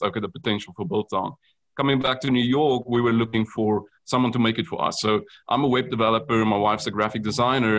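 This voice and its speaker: A man's voice: lowish voice, a bit bright. South African man explaining his business. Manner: earnest, explanatory, with frequent ums. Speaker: guest, company founder. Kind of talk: podcast interview.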